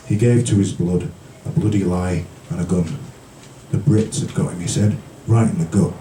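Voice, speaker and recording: Man, poet at a live reading, low-pitched voice, measured storytelling delivery, roomy echo, some background noise. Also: mellow. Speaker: featured poet. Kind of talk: live poetry reading with brief casual asides. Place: small indoor café poetry event. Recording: roomy, distant, noisy live recording.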